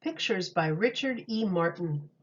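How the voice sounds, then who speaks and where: A woman reading a children's storybook aloud like a teacher, in an expressive, deliberate storytelling manner. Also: fairly low pitch, dull audio.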